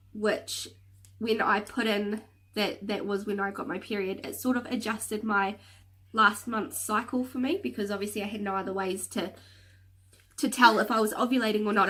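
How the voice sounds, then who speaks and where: A woman's voice: mid-range voice, a bit bright. A woman vlogger talking in a casual, rambling way with many fillers and restarts, her nose a little stuffed from a cold so she sounds slightly nasal. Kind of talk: casual chatty vlog rant.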